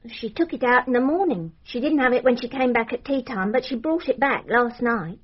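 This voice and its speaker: A respectful servant in a radio drama. Her high voice has a husky grain, and she recounts things plainly and deferentially. The recording is somewhat dull. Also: fairly quick. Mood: helpful, respectful. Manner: deferential, matter-of-fact recounting. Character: respectful, shaken female servant, plainly spoken.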